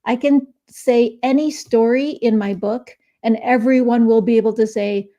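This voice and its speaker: A woman's voice: fairly high pitch, steady tone, normal speed, dark tone, lo-fi sound. A middle-aged woman guest author on a video call, explaining at length in a chatty way with many fillers. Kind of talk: casual interview chat. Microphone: remote video call.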